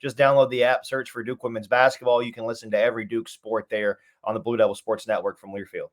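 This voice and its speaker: Fast-talking man giving sports analysis. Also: lowish voice, little pitch movement. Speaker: radio play-by-play announcer interviewed as guest.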